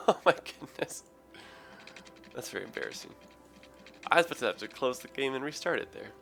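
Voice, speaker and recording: A man with a raspy, hoarse, tired voice, rambling through casual game commentary with many ums and self-corrections. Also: mid-range voice, big pitch swings, a little fast, bright, forceful tone, soft background music. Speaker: gaming video host. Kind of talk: casual let's play commentary.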